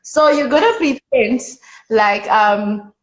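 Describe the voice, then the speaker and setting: A woman's voice: fairly high pitch, slow, lo-fi sound. A woman hosting a casual interview, playful and teasing, laughing often. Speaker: host.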